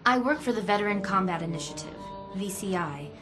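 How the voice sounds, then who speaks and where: An actress playing an earnest, anxious young office employee turned informant in a video game drama, polite and hesitant. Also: female voice, mid-range voice, animated, brisk, close to an everyday voice. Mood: earnest, uneasy. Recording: music playing behind the voice.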